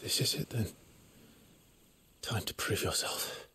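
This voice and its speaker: An actor playing an earnest, dutiful teenage noble soldier in a video game, hushed and solemn. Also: male voice, slow. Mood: pensive. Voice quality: husky, breathy. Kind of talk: video game cutscene drama acting.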